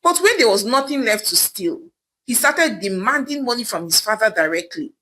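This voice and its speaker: A woman's voice: fairly high pitch, animated, moderate pace, sharp tone. A woman storyteller narrating a scripted folktale in a dramatic, emphatic manner.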